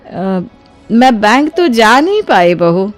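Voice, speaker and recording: Woman acting a motherly, animated older mother-in-law. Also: fairly high pitch, slow, faint music behind. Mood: concerned. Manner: motherly, expressive, colloquial. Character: kind, generous older mother-in-law of the household. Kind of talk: acted Hindi moral story dialogue.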